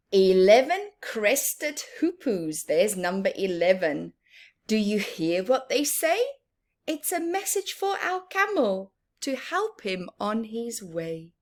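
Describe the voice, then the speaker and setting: Woman reading to young children, very slow, animated. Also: medium pitch. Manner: sing-song, expressive, prompting listeners with questions. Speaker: children's story reader and teacher. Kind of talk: interactive picture book read-aloud for young children.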